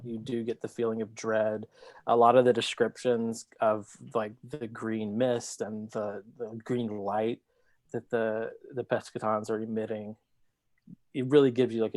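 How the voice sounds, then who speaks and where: A man giving his views in a casual book discussion over a video call, in a tentative, halting way. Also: on the low side, a little mellow. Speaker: podcast panelist.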